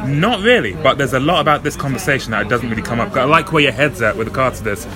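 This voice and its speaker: A man with a deep voice, hosting a casual podcast interview outdoors. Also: expressive, a crowd in the background. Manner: quick, chatty and friendly. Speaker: podcast host. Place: outdoors in an urban smoking area. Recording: loud music in background.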